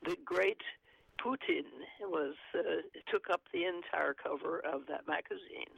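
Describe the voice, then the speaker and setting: Gravelly man on a muffled line. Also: on the high side, no echo. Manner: halting, frequent fillers, earnest. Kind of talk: conversational commentary, reading aloud from an article.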